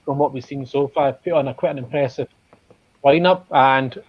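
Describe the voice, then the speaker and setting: A man with a thick Glaswegian accent chats as a guest, hesitant and self-deprecating, filling his pauses with ums. His voice comes through a video call that sounds muffled and dry, with no room around it. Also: mid-range voice, breathy edge, no echo. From Glasgow, Scotland. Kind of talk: casual livestream chat. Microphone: video call webcam.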